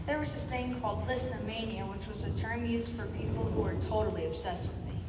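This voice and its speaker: A woman's voice: mid-range voice, brisk, natural voice. A young girl of about eleven playing a cocky, brash composer in a scripted comic school play. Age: child, about 11 or 12. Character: cocky, brash, self-promoting famous male composer. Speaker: student performer in readers theater. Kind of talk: scripted comic stage recitation. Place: school performance in a large echoey room. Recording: echoey hall, far mic, clearly noisy.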